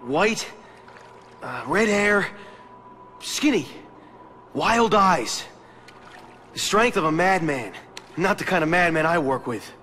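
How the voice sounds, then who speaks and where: Game victim: frightened everyman, high and swooping, stammering, faint noise behind. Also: lively intonation, slow pace, long pauses, sharp tone, exaggerated voice. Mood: anxious explaining. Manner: stammering, whining. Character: frightened, pleading ordinary man protesting his innocence. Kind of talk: video game dramatic acting.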